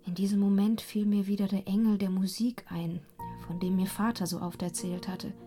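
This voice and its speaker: Spellbound young soprano woman acting, intimate and breathy, mid-range voice, fragile and yearning, German radio play. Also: medium pitch, fairly even intonation, normal speed, soft background music, close to an everyday voice. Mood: awed, wondering. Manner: breathy, intimate, slipping into hushed whispers. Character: young opera singer, impressionable, enraptured, torn and fearful.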